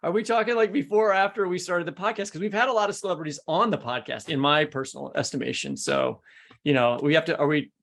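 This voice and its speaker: Man podcast host, higher-pitched voice. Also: expressive, fast talker, fairly bright tone. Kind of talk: conversational podcast chat.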